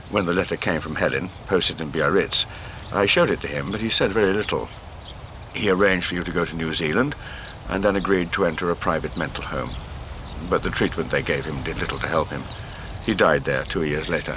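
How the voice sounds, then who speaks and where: Radio drama: regretful English doctor, low forceful voice, precise and formal, slightly noisy recording. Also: male voice. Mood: grave recounting. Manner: measured, formal, explanatory.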